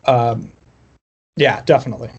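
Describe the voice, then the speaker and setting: A man's voice: mid-range voice, fairly even intonation, moderate pace, slightly rough, lo-fi sound. A man chatting casually as a musician guest on a podcast, telling personal stories in a wry, self-deprecating way. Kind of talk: casual chat, personal storytelling.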